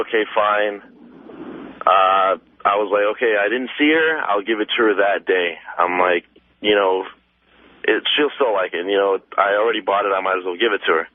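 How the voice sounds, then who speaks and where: A young man calling a radio show, telling a rambling, self-deprecating story in casual speech. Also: on the low side. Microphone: telephone. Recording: narrow dry phone line.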